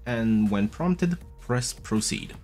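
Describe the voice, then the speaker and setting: A man in his thirties casually walking viewers through a device setup step by step, chatty and patient, recorded at a desk with a boom mic. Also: lively intonation, slow, a little mellow, faint music behind. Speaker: tech video host. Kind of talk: casual tutorial walkthrough. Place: small room at a desk. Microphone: studio mic on a boom arm, wearing headphones.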